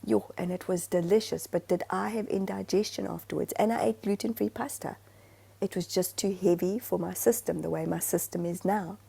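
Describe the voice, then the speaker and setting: A woman from Zimbabwe chatting casually on a podcast. Her voice is gravelly and mellow. She rambles in an animated way and restarts her sentences often. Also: lowish voice, moderate pace. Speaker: podcast co-host. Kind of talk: casual chat.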